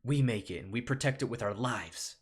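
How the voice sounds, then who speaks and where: A man's voice: medium pitch, a little fast, breathy edge, voice pushed up, close to an everyday voice. A man voicing an earnest, indignant little honeybee pleading in court, in an amateur solo script reading. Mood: earnest, impassioned.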